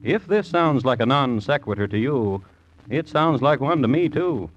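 A man hosting and narrating an old radio crime drama in a deliberate, dry, wry manner. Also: medium pitch, expressive. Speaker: host and narrator. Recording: dull old radio recording.

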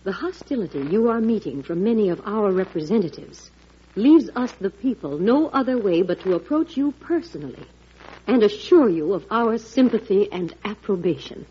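An actress playing a devoted, steadfast, encouraging wife of a president in an old-time radio drama, with a husky voice. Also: female voice, medium pitch, lively intonation, normal speed, dark tone. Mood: rousing. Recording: thin, dull vintage radio recording.

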